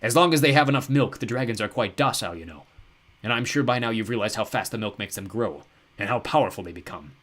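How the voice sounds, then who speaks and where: A man voicing a huge, cruel ancient ogre lord who talks slowly and boastfully. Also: expressive, fairly bright tone. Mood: gloating. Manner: booming, slow and gloating. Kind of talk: audiobook character voice.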